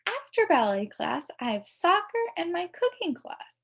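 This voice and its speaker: A woman's voice: extremely high pitch, very animated, a little slow, a bit bright, pitched above the performer's own voice, full character voice. A woman voicing a prim, self-important know-it-all schoolgirl in a children's storybook. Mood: prim, hurried.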